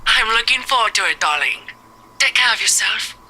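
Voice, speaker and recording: A woman's voice: a little high, lively intonation, a little fast, over a noisy crowd, natural voice. A woman voicing a doting middle-aged mother. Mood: affectionate. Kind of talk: visual novel voice acting.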